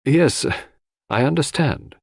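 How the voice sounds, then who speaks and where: A man voicing a brisk, formal coroner who questions witnesses with official courtesy in an audiobook. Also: medium pitch, sing-song, darkish tone, no room sound, studio quality, pitched above the performer's own voice. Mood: probing, deliberate. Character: brisk, formal, courteous male coroner presiding over inquest.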